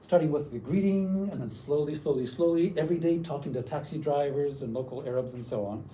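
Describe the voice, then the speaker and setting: Older Canadian man, workshop presenter, dark mellow voice, slightly high, faint room noise. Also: a little fast, far from the mic, poor audio. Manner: enthusiastic, tags lines with "okay?". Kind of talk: teacher workshop talk.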